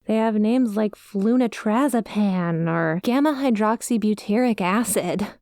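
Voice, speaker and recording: A woman plays a hapless young yandere schoolgirl in an ASMR roleplay, ditzy and self-deprecating. Her voice is smooth and clear, recorded close and dry. She confides in the listener in a chatty, flustered, expressive way. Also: medium pitch, a little slow, no echo, lightly acted. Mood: embarrassed, exasperated.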